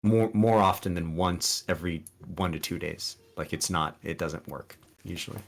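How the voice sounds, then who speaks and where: A man with a very low, deep voice whose first language is Spanish talks as a podcast guest. He speaks earnestly and loosely, restarting sentences and filling pauses with ums as he gives advice. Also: creaky, slight background noise. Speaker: guest streamer. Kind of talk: casual podcast interview.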